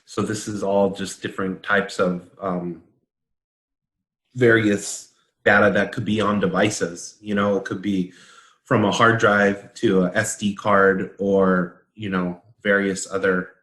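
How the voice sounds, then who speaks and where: A man with a fairly low voice talks as a consultant in an informal discussion. He explains details in a measured way, and the room around him is clearly echoey. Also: level tone, a bit bright.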